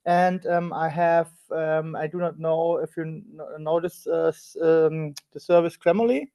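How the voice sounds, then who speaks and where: Mellow, higher-pitched German man. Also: steady tone. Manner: hesitant, pausing often. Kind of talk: casual chat.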